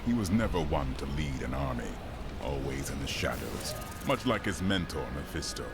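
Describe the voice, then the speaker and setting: An actor playing a noble, grave angel turned mortal in a fantasy game, speaking in a formal, measured way. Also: male voice, rumbling low, very animated, gravelly, heavily acted. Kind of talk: fantasy video game voice acting. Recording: loud music underneath. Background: music.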